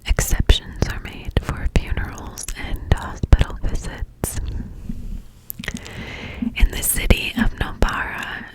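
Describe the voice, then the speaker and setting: A Canadian woman whispering close to the mic in an ASMR video, breathy with soft mouth sounds. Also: low-quality recording. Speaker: ASMR creator.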